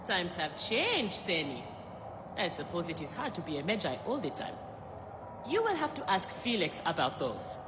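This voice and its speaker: Swaggering, lively gladiator woman, echoing hall. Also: fairly low pitch, normal speed. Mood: wry, hopeful. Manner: theatrical, teasing, laughing. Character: boisterous swaggering woman gladiator, former slave, teasing friend. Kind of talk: video game cutscene acting. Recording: echoey hall reverb, loud background noise.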